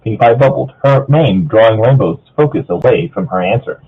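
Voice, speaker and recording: Male amateur narrator, husky, very animated storytelling with casual asides, thin phone-like sound. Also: on the low side, brisk. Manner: breaks off to joke with co-reader. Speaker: narrator of fan fiction.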